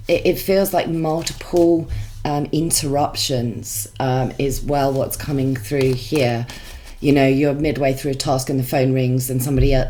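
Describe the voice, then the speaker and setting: A woman's voice: deep voice, a little mellow, slight background noise, rough recording. Congested woman reading tarot. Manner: chatty, musing, many fillers and restarts. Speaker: tarot reader.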